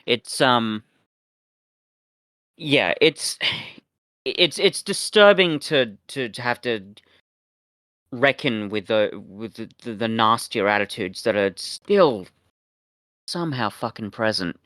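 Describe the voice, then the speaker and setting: A man co-hosting a review podcast in casual, opinionated chat, feeling his way through sentences haltingly. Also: mid-range voice, animated, bright, forceful tone, dry recording. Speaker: podcast co-host reviewing audio dramas.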